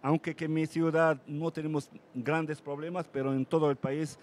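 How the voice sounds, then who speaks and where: Halting man, many restarts. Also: on the high side, flat delivery, a little hiss or hum. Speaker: mayor. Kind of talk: conference panel talk. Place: conference panel.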